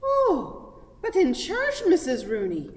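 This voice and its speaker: An actress playing a prim, pious, dreamily distracted spinster in a radio play, with an affected, fluttery, sanctimonious manner. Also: female voice, sits high, wildly swooping pitch, slow, a little mellow, full character voice. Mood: airy, self-righteous. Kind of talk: theatrical radio play acting. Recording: echoey hall with long reverb.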